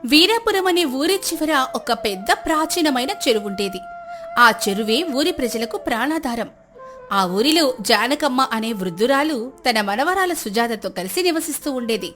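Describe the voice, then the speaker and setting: A woman's voice: sits high, animated, normal speed, a bit bright, faint music behind. A woman narrating a scripted moral story as a storyteller.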